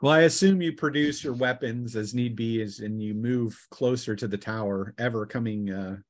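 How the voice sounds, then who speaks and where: A man running a tabletop roleplaying session as game master, narrating scenes in a halting, drawn-out way. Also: medium pitch.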